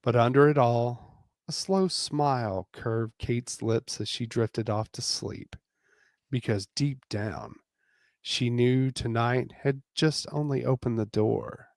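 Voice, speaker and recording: A man narrating a dramatic romance reading theatrically, with deliberate pauses, on a close microphone. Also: medium pitch, a little mellow, dry recording. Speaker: narrator and reader.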